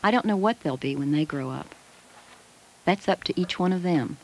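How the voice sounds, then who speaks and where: A woman's voice: fairly low pitch, lively intonation, moderate pace, darkish tone, a little hiss or hum, rough recording. A woman playing a devoted, hopeful schoolteacher in an educational film, speaking earnestly in careful phrases on a 1980 film soundtrack. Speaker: teacher. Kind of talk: scripted educational film monologue.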